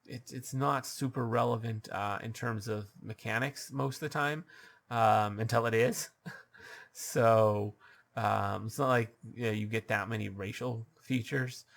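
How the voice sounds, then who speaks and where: A man's voice: mid-range voice, steady tone, gravelly, fairly bright tone. A man giving advice as a dungeon master, talking off the cuff with lots of ums and restarts, his voice a bit scratchy. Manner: halting, many ums and uhs, restarts. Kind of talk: unscripted advice monologue.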